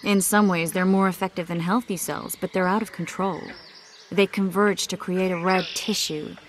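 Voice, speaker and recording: A woman plays a knowing mage and healer from a video game. Her voice is a little low, and she explains things in a measured, forthright way over faint ambient noise. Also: steady tone, lightly acted. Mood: clinical, matter-of-fact.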